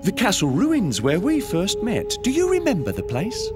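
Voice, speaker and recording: Sly theatrical schemer, highish voice, wildly swooping pitch, florid courtly diction, video game villainy. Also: male voice, huge pitch swings, moderate pace. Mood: sly, insinuating. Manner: florid, courtly archaic phrasing. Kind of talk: dramatic video game acting. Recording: loud music behind the voice. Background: loud music.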